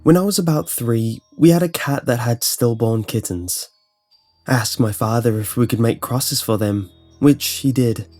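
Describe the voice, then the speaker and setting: A man's voice: mid-range voice, normal speed, a little mellow. A man reading short first-person horror anecdotes as a scripted narration. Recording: voice-over with music behind. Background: music.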